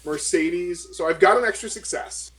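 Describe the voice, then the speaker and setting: Guy player in an rpg, highish voice, rambly, feeling around for words. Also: moderate pace, fairly bright tone, a little hiss or hum, poor audio. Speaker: tabletop game player. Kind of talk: casual role-play chat.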